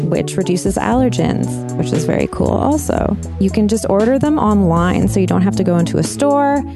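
A woman hosting a podcast, chatting in a joking way and reading a sponsor message in a chatty, upbeat tone. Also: medium pitch. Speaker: podcast host. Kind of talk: casual chat and read sponsor ad.

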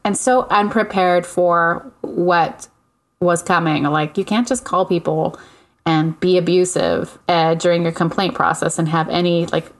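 A woman co-hosting a podcast, telling a story in casual chat with lots of laughter and a high, youthful voice she calls a baby voice. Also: steady tone, measured pace. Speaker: podcast co-host.

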